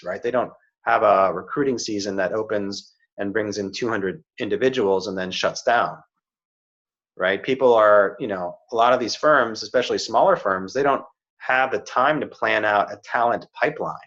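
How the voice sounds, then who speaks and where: A man's voice: fairly low pitch, normal speed, fairly bright tone. A middle-aged man giving career advice in a casual chat, earnest and often checking in with "right?" and "you know". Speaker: career coach. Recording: slightly dull, muffled audio.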